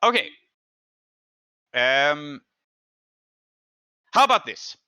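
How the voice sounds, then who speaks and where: A man's voice: a little high, sing-song, drawn-out words, sharp tone, clear, resonant tone, no room sound, studio quality. A man running a tabletop game as game master, narrating and making rulings in a thoughtful, deliberative way.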